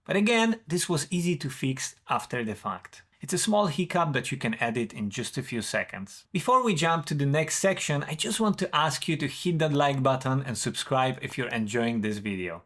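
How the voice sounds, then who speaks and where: A man's voice: mid-range voice, big pitch swings, moderate pace, few pauses, fairly bright tone. Man reviewing tech. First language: Polish. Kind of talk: scripted product review narration.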